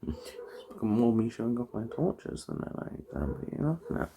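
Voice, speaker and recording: ASMR optician roleplay: hushed, very gravelly murmur sliding into whisper, hesitant and reassuring, lilting pitch. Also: big pitch swings, normal speed, noisy background. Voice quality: soft, breathy, drifting into whisper. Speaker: ASMR performer playing an optician giving an eye exam.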